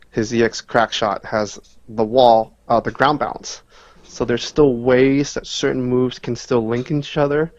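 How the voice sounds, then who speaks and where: A man's voice: mid-range voice, highs cut off. A man giving casual, analytical answers as a guest player in a gaming interview.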